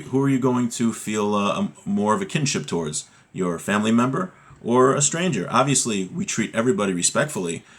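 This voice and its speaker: A man's voice: lowish voice, slightly rough. A man giving a religious lesson as a teacher, explaining a text and often posing rhetorical questions to check understanding.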